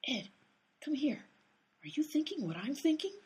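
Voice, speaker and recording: Woman as a bossy, lively, high-voiced amateur sleuth. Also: normal speed. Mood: suspicious. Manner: snappy, sarcastic. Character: bossy, sharp-tongued young female amateur detective. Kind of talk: radio comedy acting.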